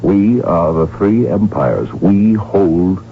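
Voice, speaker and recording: Proud, deep-voiced imperial archduke. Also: male voice, lively intonation, slow, full character voice. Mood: proud, defiant. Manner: imperious, declamatory, emphatic. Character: proud, defiant, ambitious archduke, devoted husband. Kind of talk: radio drama acting. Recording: narrow, phone-like old radio recording with hum.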